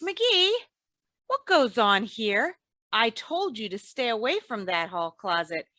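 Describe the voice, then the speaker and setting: An actress playing a sharp-tongued, scolding wife in old-time radio comedy style, chiding and wry. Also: female voice, fairly high pitch, very animated, slow pace, no echo, studio quality, full character voice.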